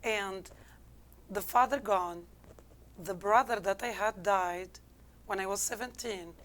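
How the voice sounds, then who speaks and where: A woman's voice: mid-range voice, takes it slowly, a bit bright. Algerian-accented woman telling her story. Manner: candid, reflective, non-native English phrasing. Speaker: interview guest. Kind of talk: personal storytelling in an interview.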